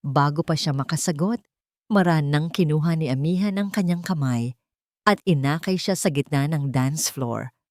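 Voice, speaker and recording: Low-voiced woman narrating dramatically, close and dry. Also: moderate pace, a little mellow, no room sound. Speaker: storyteller. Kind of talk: dramatic scripted narration of a romance story.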